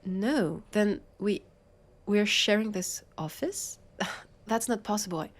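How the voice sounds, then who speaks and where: A woman with a French accent playing a brusque, sarcastic vampire detective in a scripted audio roleplay, with halting, flustered delivery. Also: medium pitch. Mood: disbelieving, flustered.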